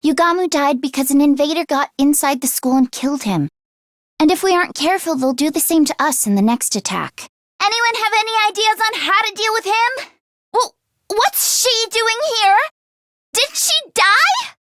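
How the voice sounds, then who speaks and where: A woman voice acting an earnest, anxious teenage schoolgirl in an English video game, with expressive, emotionally heightened delivery. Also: very high voice, normal speed, no room sound, clean studio sound. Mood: alarmed.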